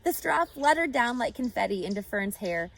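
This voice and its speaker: A woman reading a children's story aloud expressively, pausing to explain and ask questions like a teacher. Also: on the high side, very animated, gravelly, fairly bright tone. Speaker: storybook reader.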